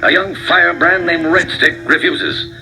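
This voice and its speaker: A commanding army general gives orders in a firm, fairly high voice, as a man acts him out. Music plays behind him in this storybook recording. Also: sing-song, moderate pace, bright, forceful tone, exaggerated voice, poor audio. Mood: stern. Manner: firm, authoritative. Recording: voice over music. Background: background music.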